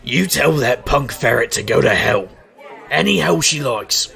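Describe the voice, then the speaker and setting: An actor playing a wisecracking private eye and street magician, narrating an audio drama in a sardonic, gravelly way. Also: male voice, mid-range voice, lively intonation, a little slow, pushed and bright, a faint crowd, heavily acted. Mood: needling. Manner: sardonic, wry. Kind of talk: noir-style first-person narration and dialogue in audio drama.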